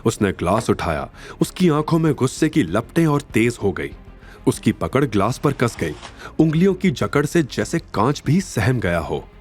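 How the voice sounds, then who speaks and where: Animated male audio drama narrator. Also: mid-range voice, moderate pace, soft background music. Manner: dramatic, suspenseful. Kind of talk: dramatic scripted story narration.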